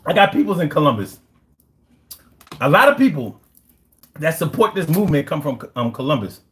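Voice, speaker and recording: A man's voice: on the high side, sing-song, moderate pace, a bit bright, slight background noise. A young man from Philadelphia with a Philadelphia accent, chatting casually on a livestream, brash and profane, boasting in street slang. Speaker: livestream host. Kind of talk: casual livestream chat reading comments.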